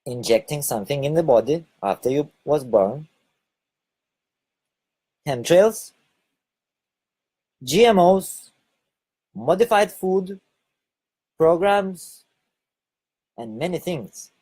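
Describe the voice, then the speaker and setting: A man from Lithuania with a Lithuanian accent, giving a halting spoken monologue and often repeating or correcting his words. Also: fairly high pitch, lively intonation, mellow, slightly rough. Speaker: online video host.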